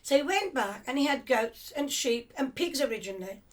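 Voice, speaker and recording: An older woman with a high, light voice recalls her family's past at an unhurried conversational pace. She drifts and restarts as memories come back, reflective rather than polished. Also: lively intonation. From England, of Cypriot family. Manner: rambling, reflective storytelling with restarts. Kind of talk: reminiscing conversation.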